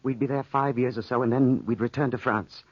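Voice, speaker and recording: A man's voice: mid-range voice, steady tone, fairly quick, no room sound, close to an everyday voice. A man playing a lovesick young soldier on the run in a 1940s radio drama, earnest, pleading and hesitant. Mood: tender. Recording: muffled, dry 1940s radio recording.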